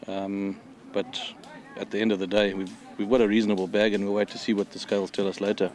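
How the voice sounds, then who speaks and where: A man's voice: lowish voice, flat delivery, quick pace, a little mellow. A man giving a casual, hesitant and downbeat interview as a competitor.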